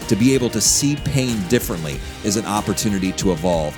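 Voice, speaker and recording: Low-pitched male podcast host. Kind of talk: podcast introduction and interview. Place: studio.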